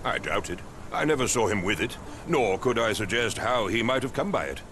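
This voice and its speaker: Actor as pompous English captain, lowish animated voice. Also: male voice, fairly quick, noisy background, lightly acted. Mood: dismissive. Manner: pompous, clipped, condescending. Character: pompous, impatient male English captain, haughty wealthy master. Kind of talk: video game voice acting.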